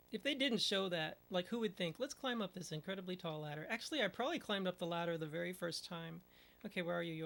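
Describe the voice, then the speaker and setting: Speedrunner at mid pitch with some vocal fry, casual play-by-play, mild oaths, talks to the screen. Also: a bit bright. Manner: mutters at the game, uses mild minced oaths. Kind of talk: casual gameplay commentary.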